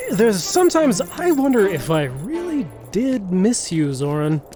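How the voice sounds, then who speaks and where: Slow-spoken, higher-voiced noble hero. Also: male voice, big pitch swings, music in the background, exaggerated voice. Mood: wry, fond. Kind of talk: fantasy audio drama acting.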